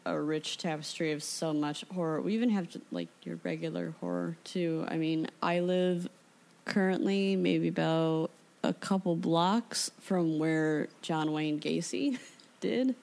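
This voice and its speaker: American woman, deep for a lady, lots of fry, wry casual chat. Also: unhurried, darkish tone. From Illinois, United States.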